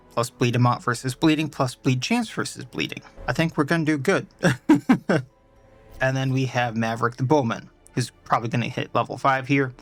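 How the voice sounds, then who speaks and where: A man's voice: medium pitch, a bit bright. A man with a stuffed-up nose and a sore throat, chatting casually as he plays and explains his party's stats at length. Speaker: let's play host. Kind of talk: casual gameplay commentary.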